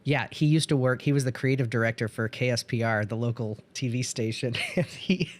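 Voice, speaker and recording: A male podcast host with a medium-pitched voice talks in a loose, conversational way. He sounds enthusiastic and readily gushes as he goes. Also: a little fast. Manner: enthusiastic, gushing about past projects.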